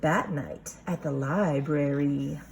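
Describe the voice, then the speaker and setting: A woman with a fairly low voice reads to young children as their teacher. She takes her time and is expressive, stopping to ask questions and explain. There is noticeable background noise in the recording. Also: takes it slowly, vocal fry. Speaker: kindergarten teacher reading aloud. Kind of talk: children's picture book read-aloud with teacher asides.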